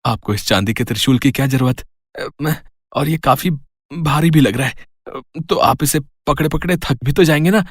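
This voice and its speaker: A man's voice: on the high side, moderate pace, slightly rough, dry recording, clean studio sound. A man playing a sly, gleeful petty thief in a moral story cartoon, muttering and coaxing with mock piety. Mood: gleeful wheedling.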